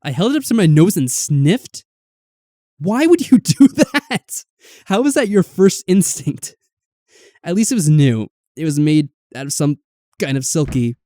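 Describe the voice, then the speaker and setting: Man, let's player, animated narration, soft dark tone, casual wry commentary, background music. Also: a little high, a little slow, no echo, clean studio sound. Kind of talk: reading a visual novel aloud with casual commentary.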